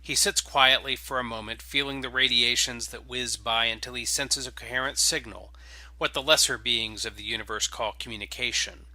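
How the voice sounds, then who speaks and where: A man hosts and narrates with an informal, keen delivery. His voice is very bright and forceful, at an ordinary male pitch. The sound is dry and close. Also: fairly even intonation, normal speed, no echo, rough recording. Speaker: game writer and host introducing and reading stories. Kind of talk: casual podcast intro, then dramatic scripted narration.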